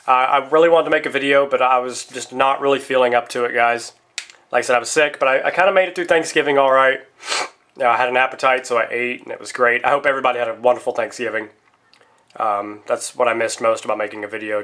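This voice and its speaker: A man's voice: mid-range voice, sharp tone. A man vlogging casually as he chats about pocket knives, his voice still a little stuffy after a cold, rambling and addressing viewers directly. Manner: rambling, talks straight to viewers as guys. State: stuffy nose, slight lingering cough after a cold. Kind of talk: casual chatty product vlog.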